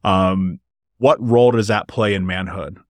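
A man's voice: low-pitched, measured pace, no echo. A man hosting a podcast interview, earnest and motivational, speaking directly to his listeners. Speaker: podcast host. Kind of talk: conversational interview.

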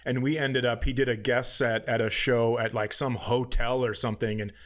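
A man's voice: mid-range voice, level tone, normal speed. A middle-aged American man hosting a comedy podcast with casual, playful, joking banter.

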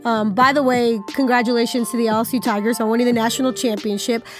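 A woman's voice: a little high, fairly even intonation, fast talker, faint music behind. A woman in her thirties co-hosting a sports podcast, upbeat and chatty, slightly nasal from a cold. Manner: upbeat, chatty, laughs easily. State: has a cold. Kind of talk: casual sports chat.

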